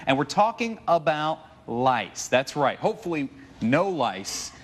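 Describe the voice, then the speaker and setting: A man's voice: on the high side, sing-song, a little slow, slightly rough. A man hosting a morning TV show, interviewing casually in a conversational, lightly joking way. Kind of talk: casual live studio interview. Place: TV studio.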